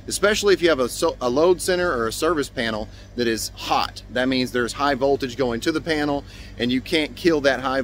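An American man in his thirties speaks in a mid-pitched voice, with noticeable background noise around him. He explains trade basics in an upbeat, informal way, like a technician filming himself on a phone. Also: fairly bright tone. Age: 30s. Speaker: HVAC technician and video host. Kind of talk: informal instructional vlog to camera. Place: outdoors by a building and in utility spaces. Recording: clear background noise, outdoors and utility room.

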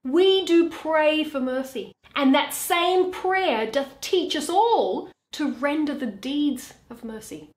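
Casting: earnest young advocate, played by a woman, high voice, persuasive classical verse, recorded in an echoey room. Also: animated. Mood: pleading, grave. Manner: deliberate, persuasive. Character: earnest, measured young male lawyer pleading for mercy. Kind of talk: dramatic Shakespeare reading.

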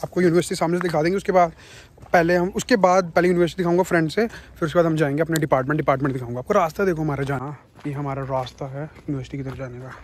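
A man's voice: on the high side, steady tone, racing, a little hiss or hum. Chatty young man vlogging outdoors. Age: 20s. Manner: chatty, playful, teasing friends. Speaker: student vlogger. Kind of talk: casual campus vlog. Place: outdoors on a university campus. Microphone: phone held at arm's length.

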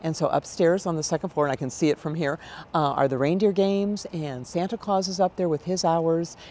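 A woman's voice: sits low, brisk, warm tone. An American woman in her sixties answering interview questions in a conversational way as an event director. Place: large exhibition hall, indoors. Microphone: lapel mic. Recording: background noise of an event hall.

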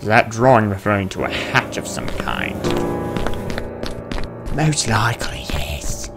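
A man's voice: fairly low pitch, very animated, measured pace, over loud music. A man hosting a horror game playthrough, talking to himself and to the game in a theatrical, jumpy way. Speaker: gaming video host.

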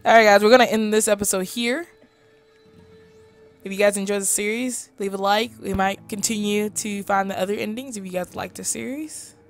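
A woman's voice: medium pitch, steady tone, moderate pace, soft background music. A woman co-hosting a let's play, chatting casually about the game. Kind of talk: casual gaming chat.

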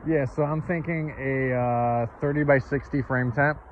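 A man's voice: mid-range voice, steady tone, measured pace. A man who runs a tent rental business, talking frankly with a client during an outdoor site visit. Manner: blunt, practical, explains business frankly. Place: outdoors at a snowy rural site. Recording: tinny phone-like sound, faint outdoor noise.

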